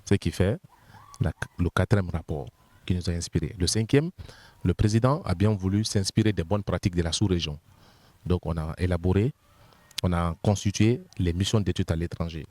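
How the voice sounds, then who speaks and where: Deep-voiced Guinean man explaining methodically. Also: normal speed, darkish tone, dry recording. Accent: Guinean French. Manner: methodical, lists points one by one, repeats words while searching. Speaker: member of the constitutional body. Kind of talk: explanatory interview answers.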